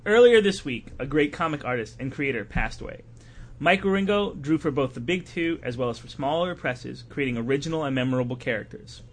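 A man hosting a casual podcast panel discussion, thinking aloud in a halting way. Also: medium pitch, lively intonation. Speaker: podcast host.

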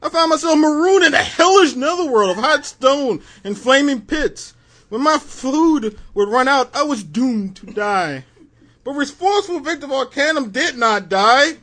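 A man's voice: very high voice, lively intonation, unhurried, a bit bright, exaggerated voice. A man voicing a pompous, grandiose immortal comic-book villain in a boastful, theatrical dramatic reading. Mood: dramatic recounting.

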